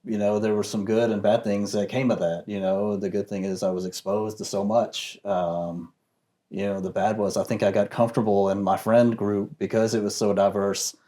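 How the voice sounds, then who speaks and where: A man speaking as a guest in a discussion, reflective and soft-spoken, with many pauses and fillers. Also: on the low side, little pitch movement. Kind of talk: casual discussion.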